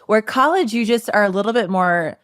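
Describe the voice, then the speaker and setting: A woman's voice: medium pitch, expressive, brisk, smooth, no echo, studio quality. A woman in her thirties hosting a podcast in a casual chat, encouraging and asking open questions. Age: 30s. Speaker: podcast host.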